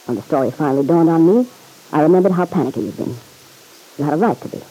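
A man's voice: on the high side, animated, fast, dark tone, slightly rough, rough recording. An actor playing a stern, insistent man in an old-time radio serial, blunt, slangy and accusing, pressing for the truth.

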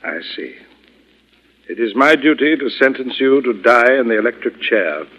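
An actor playing a solemn, stern judge pronouncing in formal, measured tones, in old radio drama style. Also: male voice, mid-range voice, lively intonation, a bit bright. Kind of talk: 1940s radio acting. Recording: echoing, muffled, hissy old radio.

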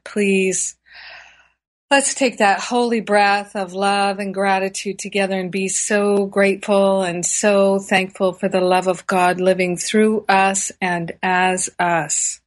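A woman hosts a spiritual radio show and talks at a very slow, unhurried pace. Her delivery is warm and gushing, and she breaks into soft laughs now and then. She moves from friendly chat into a guided prayer. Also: medium pitch. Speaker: radio show host, reverend. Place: retreat centre, indoors.

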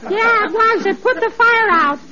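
A woman voicing a mischievous little boy in a 1940s radio comedy, with a high childish voice and cheeky deadpan punchlines. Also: moderate pace. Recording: dull 1940s radio broadcast with studio audience.

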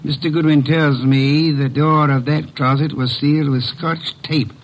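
An actor playing an older, portly, pompous detective genius in old radio drama style, speaking formally and precisely with a gravelly, husky voice. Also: male voice, fairly high pitch, fairly even intonation, unhurried, natural voice, poor audio. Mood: stern, accusing.

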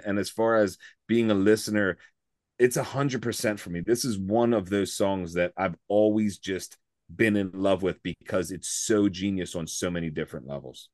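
A low-pitched man in his forties, his voice a little raspy, talks with rambling enthusiasm as a podcast co-host. He circles back on himself with fillers and restarts. The sound is close and dry. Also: no room sound. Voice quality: slightly raspy from singing. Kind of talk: casual music discussion.

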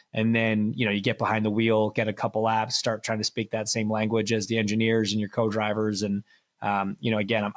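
A man from Canada, a racing driver guest on a podcast, chatting casually with self-deprecating jokes and frequent restarts. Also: fairly low pitch.

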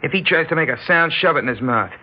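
A man's voice: on the high side, expressive, quick pace. A man playing a cocky, cruel young gang leader in an old-time radio drama, taunting and bossy in slangy talk. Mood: bossy, menacing. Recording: tinny, noisy vintage radio recording.

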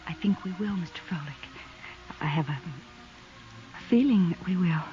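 A woman playing an earnest, yearning daughter at a séance in a 1950s radio drama, hushed, hesitant and pleading. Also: fairly low pitch, lively intonation, unhurried. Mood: hopeful. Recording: muffled old radio recording, faint music.

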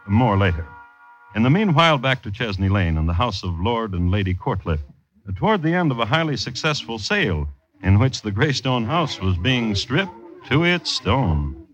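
A man narrating an old-time radio crime drama as a droll storyteller, measured, formal and dry. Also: mid-range voice, sing-song, natural voice. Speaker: narrator and host. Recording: dull old radio recording, music behind.

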